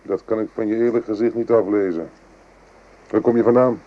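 Actor as wary, low-voiced French corporal. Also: male voice, steady tone, muffled recording, close to an everyday voice. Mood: suspicious, pressing. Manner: interrogating, wry, brisk. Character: wary French corporal, male soldier, wry and commanding. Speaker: radio drama actor. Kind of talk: radio play acting. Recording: noisy old radio drama recording.

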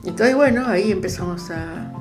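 Older woman, Argentine accent, lowish register, halting reminiscence, interview over clear guitar backing. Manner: halting, searching for words. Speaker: interviewee, popular radio producer.

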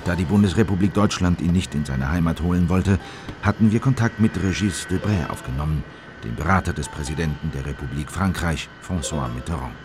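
A man's voice: low-pitched, a little fast, natural voice. An actor playing a grave, indignant male government insider who narrates events in a scripted radio play. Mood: grave indictment. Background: prominent music.

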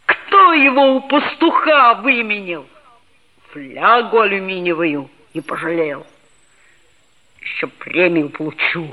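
A woman playing a boastful, sulky schoolboy who narrates his misadventures expressively in a 1960s Soviet radio drama. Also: a little high. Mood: excited, dreamy. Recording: dull, lo-fi old radio recording.

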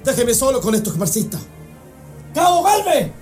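Chilean military captain, radio play, male actor. High-pitched, sarcastic, commanding, coldly menacing, faint music behind. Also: very animated, normal speed, a little mellow. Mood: cold menace. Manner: mock-polite, commanding. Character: menacing, sarcastic army captain running interrogations. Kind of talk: radio drama acting.

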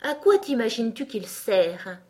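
A woman's voice: fairly high pitch, sing-song, unhurried, darkish tone, pitched above the performer's own voice. A woman voicing a haughty, blunt talking rose in a children's storybook, with a severe, condescending manner.

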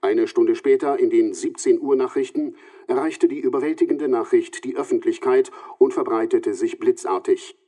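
A man's voice: medium pitch, normal speed, mellow, breathy edge, lightly acted. A man playing a sober radio news reporter, reading formally and matter-of-factly in a documentary radio drama.

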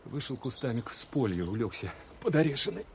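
An actor playing an earnest, watchful soldier who speaks plainly and colloquially in a wartime radio play. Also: male voice, mid-range voice, sing-song, a little fast, slight background noise. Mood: reflective, weary. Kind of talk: first-person radio play narration.

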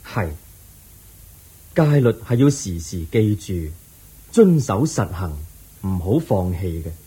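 A man voicing a wise, patient Buddha in a radio drama, explaining teachings calmly in a husky voice. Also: lowish voice, slow pace, low-quality recording. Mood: serene. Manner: calm, patient, instructive. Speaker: narrator and lead actor.

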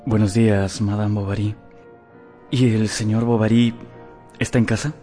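A shy, lovesick young student played by a man in a radio drama. His lowish, mellow voice is hesitant and formal, with soft music behind. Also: flat delivery, normal speed, natural voice. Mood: nervous, sad.

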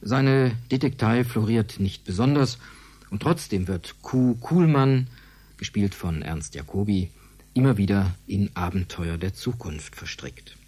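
A man's voice: on the low side, animated, a little slow, warm tone, slightly rough. A man reading a scripted introduction as a radio drama announcer. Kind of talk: scripted narration.

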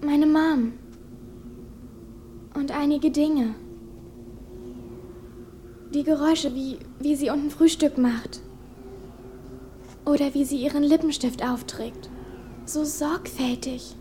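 A woman voicing a sensitive, earnest young teenage girl, youthful and expressive, in a German radio play. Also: high-pitched, slow, pauses often, a little hiss or hum. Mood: wistful.

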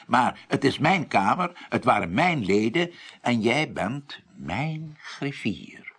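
An actor playing a weary, dry-witted chamber president in a Dutch radio drama, gravelly and deliberate. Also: male voice, mid-range voice, very animated, a bit bright, exaggerated voice. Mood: earnest. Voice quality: gravelly, husky.

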